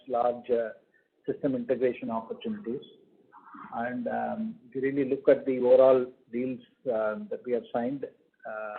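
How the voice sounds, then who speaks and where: A man, a company executive, answering questions on an earnings call in a halting, measured way. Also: medium pitch, slightly rough. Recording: muffled narrowband conference phone line.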